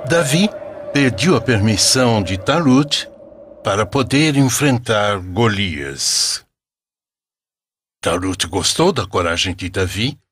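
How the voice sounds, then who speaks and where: A man playing a solemn, kindly grandfather with a Brazilian accent and husky voice, narrating religious stories reverently in a cartoon. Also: medium pitch, fairly bright tone, full character voice. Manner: measured, reverent. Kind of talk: scripted storytelling narration.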